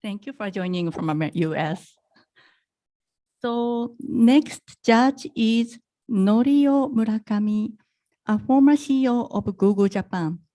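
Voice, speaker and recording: A woman's voice: a little high, slow pace, warm tone. A woman hosting an event with formal introductions, speaking politely and carefully. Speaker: event host.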